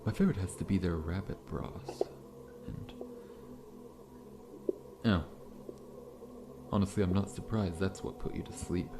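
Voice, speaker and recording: A man playing a massive, friendly but faintly menacing scorpion-man dentist in an ASMR roleplay, speaking in a gentle, reassuring, politely formal way. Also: sits low, measured pace, long pauses, noisy background. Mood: soothing, friendly. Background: background music.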